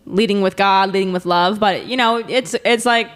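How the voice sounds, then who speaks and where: A woman's voice: medium pitch, moderate pace. Bubbly, rambling young woman podcast host. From Wisconsin, USA. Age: 20s. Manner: bubbly, rambling, heavy use of "like", frequent laughter. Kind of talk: casual podcast chat.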